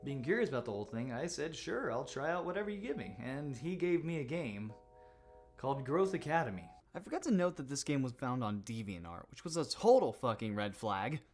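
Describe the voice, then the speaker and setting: Casting: man, lively commentary host with big pitch swings, casual joking storytelling, clear music bed behind. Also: fairly quick. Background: background music with guitar.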